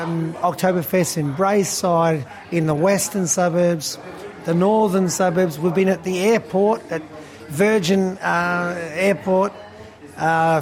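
A man in his fifties, a band musician, chatting casually and rambling with lots of fillers and laughs. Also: high voice. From Australia. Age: 50s. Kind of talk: casual interview chat. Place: busy beer hall restaurant.

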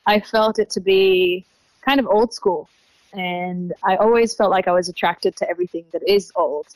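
A woman in her mid-twenties from Israel speaks with an Australian-sounding accent. Her pitch is average for a woman. She answers conversationally as a guest, in a dry recording without room echo. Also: fairly even intonation, moderate pace, darkish tone. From Tel Aviv, Israel. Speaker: interview guest, young traveler.

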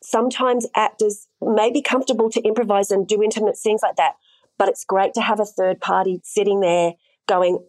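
A woman's voice: mid-range voice, steady tone, darkish tone, no room sound, poor audio. A woman in conversation, explaining her job thoughtfully and methodically. Her voice sits mid-range and is recorded close and dry, with no room around it. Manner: measured, explanatory, asks herself rhetorical questions. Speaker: intimacy coordinator guest. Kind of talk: conversational interview answers.

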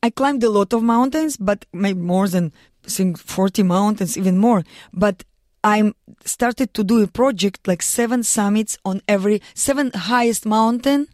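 Russian-accented woman guest, earnest, halting English, mid-pitched, dry studio sound. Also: a little mellow, no echo. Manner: earnest, halting non-native English with restarts. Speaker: guest, mountaineer and lawyer. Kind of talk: radio studio interview. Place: radio studio.

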